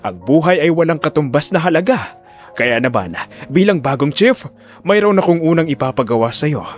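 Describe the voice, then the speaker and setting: A man's voice: a little high, sing-song, normal speed, faint music behind. Man voicing a smug older hospital director. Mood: pompous, persuasive. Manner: avuncular, self-important. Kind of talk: audio drama villain dialogue.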